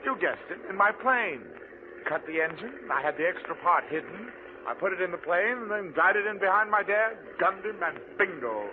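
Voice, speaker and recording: An actor playing a young murderer pilot in a theatrical 1940s radio crime drama style, on a tinny, noisy old recording. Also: male voice, high voice, lively intonation, moderate pace. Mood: gloating. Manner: taunting, theatrical. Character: gloating young male murderer pilot, turns panicky.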